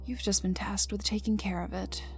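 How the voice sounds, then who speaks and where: Woman acting cocky young villainess, creaky and intimate. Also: medium pitch, fairly even intonation, moderate pace, natural voice. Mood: low, knowing. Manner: teasing, smirking, casual drawl lapsing into whispers. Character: young female villain enforcer, cocky, teasing, gruffly protective. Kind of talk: intimate ASMR audio roleplay to the listener. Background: ambient music.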